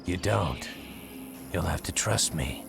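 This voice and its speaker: A proud centaur warrior from a fantasy game. The voice is low and heavily gravelled, with terse, formal phrasing and a wry edge. Music plays clearly behind it. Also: male voice, lively intonation, takes it slowly, full character voice. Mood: dry, wry. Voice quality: deep, gravelly. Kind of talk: dramatic video game cutscene acting.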